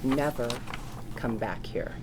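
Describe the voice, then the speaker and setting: An actress at a script table read playing a cold, menacing wife who runs a car wash, clipped and deliberate. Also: female voice, very deep voice, lively intonation, exaggerated voice. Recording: noisy room, ambient hum.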